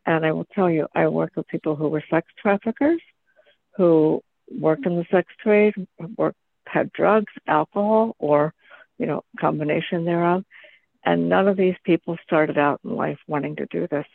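A woman in a book group discussion with a gravelly voice, telling her story in a steady, earnest way. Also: deep voice, normal speed, no echo, phone-quality sound.

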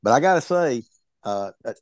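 A man's voice: medium pitch, animated, moderate pace, no room sound. A man casually giving his take in a book discussion over a video call, wry and often repeating words as he stammers along. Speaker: book club member.

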